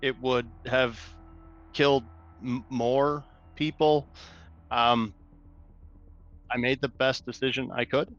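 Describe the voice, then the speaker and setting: Guilt-torn synthetic acted by a man, very slow and hesitant, tabletop game, muffled recording. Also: medium pitch, steady tone, fairly bright tone, faint music behind. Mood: conflicted, subdued. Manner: halting, subdued. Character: conflicted synthetic android, quietly defending his decision. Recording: dull, lo-fi voice channel.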